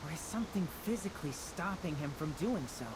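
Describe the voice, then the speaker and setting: Game fox sidekick, played by a woman: mischievous, expressive, widely swinging pitch, hissy noise behind. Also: brisk, close to an everyday voice. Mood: matter-of-fact. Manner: teasing, expressive. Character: playful, mischievous little female fox companion. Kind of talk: video game character acting.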